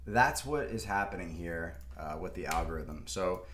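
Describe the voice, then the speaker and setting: A young man walks through stock charts at his desk, talking loosely with plenty of fillers. His voice sits on the low side. The room around him echoes noticeably. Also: expressive, moderate pace, fairly bright tone, slight background noise. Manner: rambling, filler-heavy, laughs to himself. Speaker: stock market video host. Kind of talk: casual chart walkthrough. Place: room at a desk, in front of a screen.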